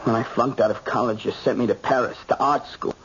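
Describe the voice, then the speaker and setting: An actor playing an angry, self-righteous young American son, argumentative and insistent, in an old-time radio drama. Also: male voice, medium pitch, steady tone, brisk, a bit bright, husky. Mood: accusing, agitated. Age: young adult. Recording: muffled, noisy old radio recording.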